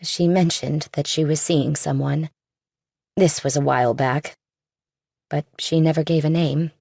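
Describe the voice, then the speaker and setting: A woman's voice: sits low, steady tone, measured pace, creaky, mellow, no echo, clean studio sound, heavily acted. A woman voicing a stern, pious middle-aged missionary mother in audiobook dialogue. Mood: probing.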